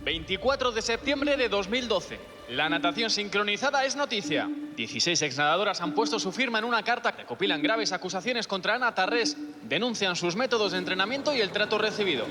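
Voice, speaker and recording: A man's voice: high voice, expressive, fairly quick. A man reading scripted documentary news narration in a formal, declarative way. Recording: reverberant, clear urban noise behind.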